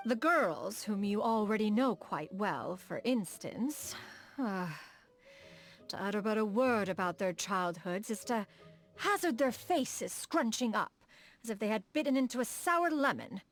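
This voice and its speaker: A woman's voice: mid-range voice, expressive, unhurried, soft background music. A woman voicing a proud, refined immortal inventor in a video game, with formal, archaic and lofty diction. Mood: pleased, indulgent. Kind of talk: scripted video game character dialogue.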